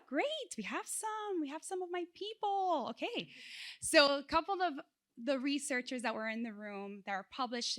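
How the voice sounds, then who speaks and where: A woman from South Florida giving a motivational conference talk, asking the audience questions as she goes. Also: fairly high pitch. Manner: animated, engages audience with questions. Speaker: conference speaker.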